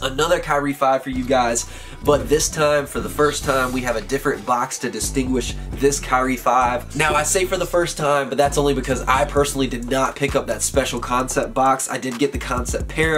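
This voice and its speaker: A man's voice: mid-range voice, fairly bright tone. Nasal, congested man reviewing sneakers. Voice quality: nasal, raspy. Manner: upbeat, slangy, enthusiastic. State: congested with a cold. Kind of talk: casual product review. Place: indoor room lined with shoe boxes.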